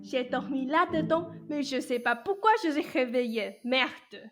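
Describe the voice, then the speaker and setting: A woman's voice: high-pitched, unhurried. A woman playing a brash, foul-mouthed fairy godmother who smokes, blunt and nonchalant, in a student radio play. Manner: blunt, slangy, unbothered. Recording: music loud under the voice.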